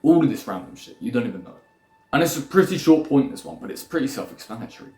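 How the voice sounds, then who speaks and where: A man's voice: medium pitch, lively intonation, quick pace, a little mellow. Blunt, swearing young man. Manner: rambling, swearing, lecturing bluntly. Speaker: self-improvement YouTuber. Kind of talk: blunt motivational monologue. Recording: echoey room with faint music.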